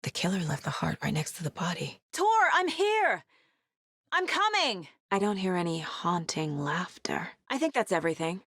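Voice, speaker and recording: A determined female investigator in a video game. Her voice is lowish and clearly husky, recorded very dry and close. She sounds introspective and focused, keeping things understated and natural. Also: big pitch swings, normal speed, a bit bright, no echo, exaggerated voice. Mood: urgent, alarmed. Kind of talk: video game character voice lines.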